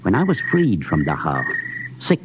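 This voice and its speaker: An actor playing a haunted, suspicious expedition doctor in a 1950s radio drama, with intense, deliberate dramatic phrasing. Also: male voice, low voice, wildly swooping pitch. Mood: urgent, troubled. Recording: noisy, dull 1950s radio recording.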